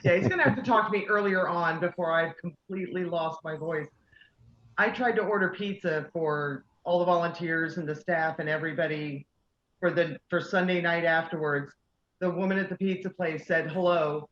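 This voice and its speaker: A woman's voice: lowish voice, moderate pace, fairly bright tone, reverberant, dull audio. A woman who organizes a convention, chatting casually and telling a self-deprecating story. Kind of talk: casual video call chat.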